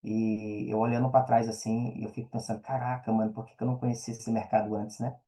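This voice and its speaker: A man's voice: fairly low pitch, flat delivery, fast talker, mellow, slight background noise. A Brazilian man speaking casually and earnestly as a podcast guest, in colloquial phrasing, often repeating phrases for emphasis. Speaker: podcast guest, affiliate marketer and mentor.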